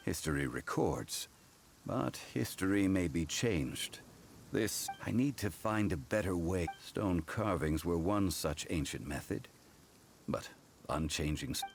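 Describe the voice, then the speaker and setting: An actor playing an ancient god in mortal guise for a video game, calm, solemn and erudite, speaking in measured, formal phrases. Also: male voice, deep voice, gravelly, a little hiss or hum, full character voice. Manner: measured, formal, grave storytelling. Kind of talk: English video game voice acting. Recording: studio voice over game music.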